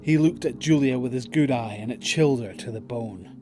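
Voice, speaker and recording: A man reads scripted narration for an audio drama. His voice is mid-pitched, with a light bed of music behind it. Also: brisk, a little mellow.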